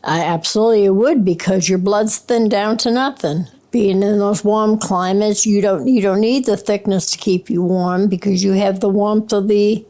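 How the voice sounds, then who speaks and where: An elderly woman in her late seventies speaks with a classic Maine accent. Her voice is mellow and soft-edged, at a middling pitch, in casual interview conversation. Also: fairly even intonation, moderate pace, few pauses. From Maine, USA. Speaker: interviewed grandmother.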